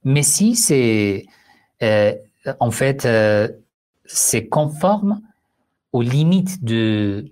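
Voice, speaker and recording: Slow, deliberate man, hosting an online religious Q&A; patient explainer, hesitant fillers. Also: medium pitch, expressive, darkish tone. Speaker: Islamic Q&A host. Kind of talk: live online Q&A answering listeners.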